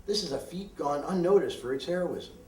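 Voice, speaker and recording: A man reading his own poetry aloud in a deliberate, deadpan, declamatory way with emphatic phrasing. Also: a little high. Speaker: poet reading own work. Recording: roomy echo, distant microphone.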